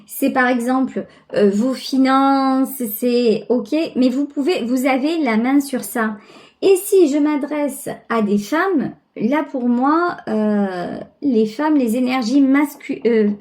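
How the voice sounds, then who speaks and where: Female tarot reader, high voice, unhurried delivery, conversational and reflective, addresses the viewer directly. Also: a little mellow. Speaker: tarot reader, channel host. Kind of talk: intuitive tarot reading, spoken directly to the viewer.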